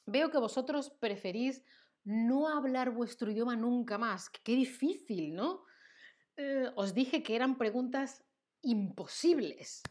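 A woman's voice: fairly high pitch, lively intonation, darkish tone. A young woman from Seville with an Andalusian Spanish accent, hosting a playful live-stream Spanish lesson, chatting with viewers and laughing often. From Seville, southern Spain. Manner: playful, chatty, laughs often, reads chat names aloud; lists words slowly with hesitant fillers.

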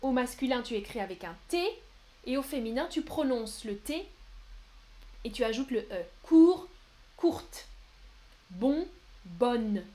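Brief: young woman, French teacher on a live stream, high voice, unhurried and clear delivery. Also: lively intonation, warm tone. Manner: slow, clear and patient, often reading chat names aloud. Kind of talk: live-streamed language lesson answering chat.

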